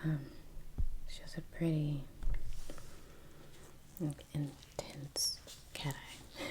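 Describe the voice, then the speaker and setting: Woman whispering slow, low, soft ASMR. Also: little pitch movement, poor audio. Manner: hushed, unhurried, gentle murmur with long pauses. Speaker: ASMR creator painting on camera. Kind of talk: soft-spoken whispered ASMR, casual painting commentary.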